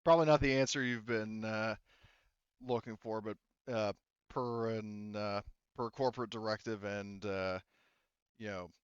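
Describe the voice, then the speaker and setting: A man playing a guarded, evasive corporate department head in a roleplaying game, speaking in a formal, measured manner. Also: on the low side, steady tone, clear, resonant tone, no room sound, muffled recording, close to an everyday voice.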